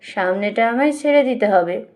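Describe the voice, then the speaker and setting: A woman narrator voices a demanding widowed sister-in-law in an audiobook story. She speaks in a high voice, insistent and sarcastic, defying her family. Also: animated, moderate pace, close to an everyday voice. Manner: sharp-tongued, insistent, sarcastic. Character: defiant, demanding widowed Bengali sister-in-law, head of kitchen.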